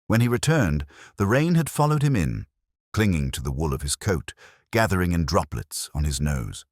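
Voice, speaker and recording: A man with an extremely low voice narrates a detective mystery in the first person. His delivery is measured and brooding, with lively rises and falls through each literary phrase. The sound is dry and close, with a husky grain. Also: dry recording.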